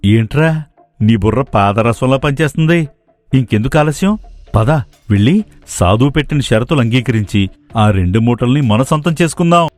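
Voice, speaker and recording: A man's voice: medium pitch, lively intonation, moderate pace, no room sound, soft background music, natural voice. A man voicing a determined, hardworking young villager in a cartoon moral story, earnest and persuasive. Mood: eager, resolute. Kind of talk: dramatised moral story dialogue.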